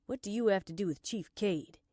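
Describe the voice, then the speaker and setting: A woman's voice: mid-range voice, brisk, dark tone, no room sound, studio quality, close to an everyday voice. A woman narrator voicing a firm, curt, dismissive male police officer in an audiobook. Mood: skeptical.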